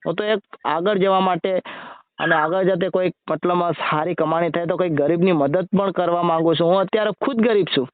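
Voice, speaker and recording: Male, Gujarati accent, notably high voice, casual and repetitive questioning, dull dry phone-call recording. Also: fairly quick, no room sound. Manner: colloquial, repeats himself, questioning. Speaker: amateur video creator on a phone call.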